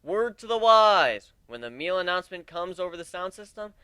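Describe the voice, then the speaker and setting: A man acts out a young male griffin captain from a fantasy story, cocky and sarcastic. The voice is bright and forceful, set fairly high, and it swings widely in pitch with excitable energy. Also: normal speed, smooth, no echo, heavily acted. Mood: upbeat, announcing.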